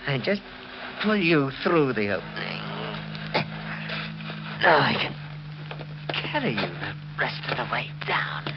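Frantic murderer, wildly swinging voice. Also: male voice, medium pitch, sing-song, takes it slowly, vocal fry, pauses often, full character voice. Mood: tense, furtive. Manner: melodramatic, frantic, repeats himself. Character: panicked, guilt-ridden male murderer trapped in a tomb. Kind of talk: 1940s radio thriller acting. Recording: noisy muffled old radio recording.

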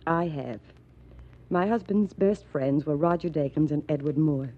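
An actress playing a bitter, self-possessed widow in 1940s radio style, earnest, dramatic and husky. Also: female voice, low voice, a little slow. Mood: bitter, confiding. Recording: muffled 1940s radio recording.

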